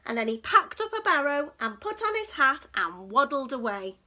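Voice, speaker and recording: A woman reading a picture book aloud to young children in an expressive, dramatic storytelling manner. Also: high voice, moderate pace. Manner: dramatic, with hushed whispers and gasps.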